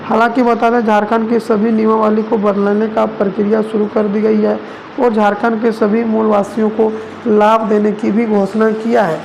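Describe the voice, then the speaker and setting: News narrator, mid-pitched and very even in tone, wordy reporting style, noisy home-grade sound. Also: normal speed. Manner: wordy, repetitive phrasing. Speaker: news presenter for a regional online channel. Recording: noticeable background noise.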